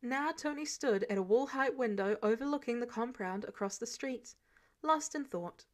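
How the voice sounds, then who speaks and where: A woman reading fiction aloud as a narrator, in an expressive scripted storytelling style. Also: fairly high pitch, moderate pace.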